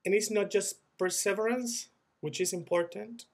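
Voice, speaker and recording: Earnest Venezuelan man, slightly high voice. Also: fairly even intonation, normal speed. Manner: earnest, reflective, with frequent repeated words and restarts. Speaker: former student-organization leader sharing experience. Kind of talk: recorded video message, personal reflection.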